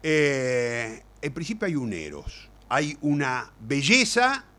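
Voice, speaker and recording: A man's voice: medium pitch, big pitch swings, slow pace, bright, forceful tone. An older man with an Argentine Rioplatense accent gives an informal lecture, speaking colloquially like a storyteller and repeating words for emphasis. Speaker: playwright and lecturer.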